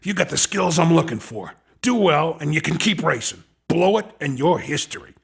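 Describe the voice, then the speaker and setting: A cocky hustler from a racing video game, recruiting with slangy, coaxing patter. His voice is raspy and a little high, and it jumps around in pitch as he sells. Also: expressive, normal speed, fairly bright tone, full character voice. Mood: cocky, encouraging. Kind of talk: video game character dialogue.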